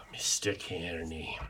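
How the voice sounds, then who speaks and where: A man's voice: deep voice, little pitch movement, drawn-out words, pushed and bright, husky, heavily acted. A man playing a scheming, wheedling, bombastic Irishman in a radio play. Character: scheming, blustering Irishman after his uncle's pension. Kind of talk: amateur radio play acting.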